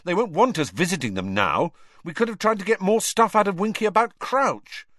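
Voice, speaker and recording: A man's voice: mid-range voice, huge pitch swings, brisk, no echo, studio quality, pitched above the performer's own voice. A man voicing a blunt, grumbling teenage schoolboy in a storybook, with a complaining manner. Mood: angry.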